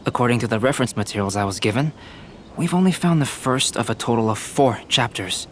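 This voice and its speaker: Composed, mid-pitched young scholar played by a man. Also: lively intonation, fairly bright tone, slight background noise, close to an everyday voice. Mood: pensive. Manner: measured, formal, precise wording. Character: composed young male artist-scholar, analytical and courteous. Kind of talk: video game character acting.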